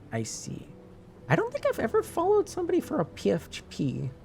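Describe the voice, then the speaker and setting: A man's voice: mid-range voice, vocal fry, darkish tone, a little hiss or hum. A man from French Canada with a light French Canadian accent, talking casually to his stream viewers, rambling with many restarts and fillers.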